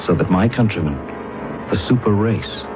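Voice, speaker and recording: Man playing a low-voiced, proud alien space pilot. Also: normal speed, close to an everyday voice. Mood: brooding, proud. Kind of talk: old radio drama acting, narration and dialogue. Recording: muffled radio drama recording with loud music.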